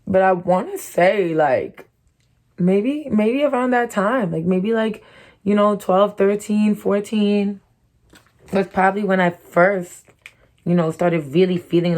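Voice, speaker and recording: A woman's voice: mid-range voice, a little slow, a little mellow. A young woman from Connecticut with her own distinctive American accent, telling a candid, profane storytime, full of "like" and "you know". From Connecticut, USA. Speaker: YouTuber.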